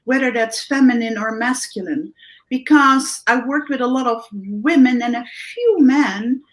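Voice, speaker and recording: A woman from the Netherlands with a Dutch accent, a guest coach giving animated interview answers with many restarts and tag questions. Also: fairly high pitch, unhurried, rough recording. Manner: animated, thinks aloud with many restarts and "right?".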